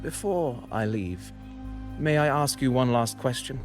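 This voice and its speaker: An actor playing a calm, enigmatic wandering poet in a video game, speaking in a measured, lyrical and formal way with deliberate pauses. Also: male voice, mid-range voice, music in the background, natural voice. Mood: calm, cryptic. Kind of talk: dramatic video game dialogue, poetic narration.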